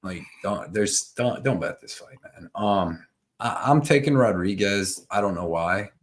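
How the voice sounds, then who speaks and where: A man in his early forties from Ohio co-hosts a casual live-streamed picks show. He talks in a laid-back, easygoing way, tossing in "dude" often. His voice sits fairly low. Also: expressive, a little slow. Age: 40s. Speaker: co-host.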